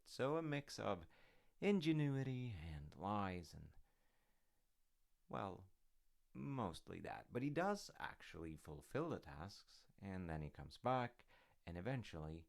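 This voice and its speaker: A man's voice: deep voice, gravelly. A man retelling a fairy tale in a casual, rambling way with informal, wry asides. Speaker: storyteller dad character in audio roleplay.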